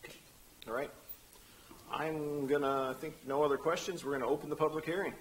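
A man chairing a county council meeting in a council chamber, running hearings briskly with dry asides, speaking into a desk microphone. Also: fairly high pitch, steady tone, a little hiss or hum, rough recording.

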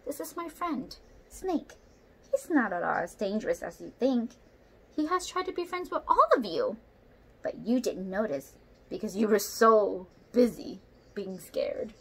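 A young woman voicing a timid, self-doubting female orangutan in a hesitant, gentle storybook manner. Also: medium pitch, big pitch swings, a little slow, breathy edge, heavily acted. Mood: reassuring. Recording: noisy home room recording.

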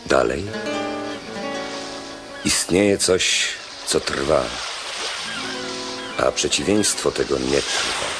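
An actor playing a learned, deferential slave philosopher to a Roman governor in a radio play, measured and didactic. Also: male voice, extremely low pitch, over music, heavily acted. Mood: measured lecturing. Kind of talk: Polish radio play acting.

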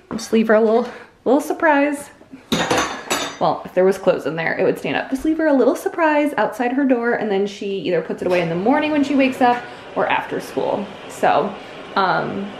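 A woman's voice: medium pitch, noisy background. An American woman in her thirties chatting casually to viewers and explaining things in an informal way. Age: 30s. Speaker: home and cleaning vlogger. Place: indoors in a house.

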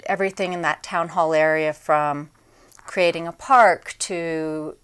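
Woman in her forties, mayor guest; low, smooth, resonant voice; measured and upbeat; lapel mic in a small studio. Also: steady tone, fairly bright tone. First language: English. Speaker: town mayor. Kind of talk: studio interview answers. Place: small TV studio set, indoors.

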